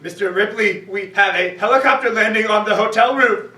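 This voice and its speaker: A woman's voice: medium pitch, brisk, bright, forceful tone, lightly acted. A woman playing a formal, matter-of-fact artificial intelligence security chief in a staged cartoon reading. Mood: dutiful, urgent. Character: formal, dutiful AI security chief of a theme park. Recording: echoey room, distant mic.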